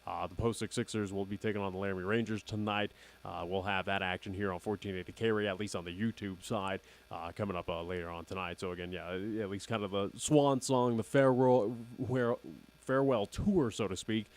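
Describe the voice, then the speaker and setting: Young radio host guy, kinda raspy and nasal, lowish voice, says again like every line. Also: steady tone, brisk. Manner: rambling, keeps repeating "again". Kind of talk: casual radio chat.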